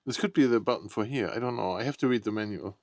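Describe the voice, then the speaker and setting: A man's voice: on the low side, fast talker, darkish tone, slight background noise. A man giving casual, unscripted unboxing commentary, thinking aloud in short, tentative remarks. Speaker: product unboxing vlogger.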